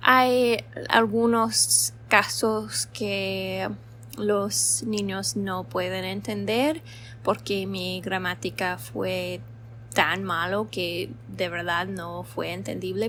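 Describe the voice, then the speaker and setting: A young woman, a native English speaker, chatting casually in a learned language, speaking slowly and haltingly with many fillers and frequent laughs. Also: mid-range voice, slight background noise. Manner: slow and halting, searching for words with many fillers; laughs often while talking. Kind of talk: casual chat to camera.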